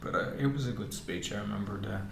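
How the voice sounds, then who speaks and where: A man's voice: fairly low pitch, racing, creaky, fairly bright tone. A man hosting a podcast, asking loose, rambling questions full of fillers. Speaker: podcast host. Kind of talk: casual interview questions. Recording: echoey room with faint hiss.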